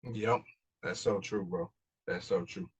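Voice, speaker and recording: Lower-voiced man giving earnest coaching advice. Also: unhurried, slightly rough. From Mississippi, USA. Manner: earnest advice-giving, repeats phrases as he goes. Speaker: podcast guest, business coach. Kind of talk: casual motivational interview talk.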